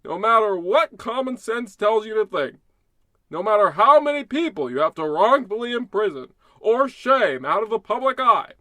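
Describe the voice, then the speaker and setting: A self-proclaimed hero of a radio-play parody played by a man, high-voiced and sharp. He is pompous and paranoid, declaiming everything with bombast as a great American crusader. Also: sing-song, normal speed, dry recording, exaggerated voice. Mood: righteous bombast. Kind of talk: parody of old radio drama.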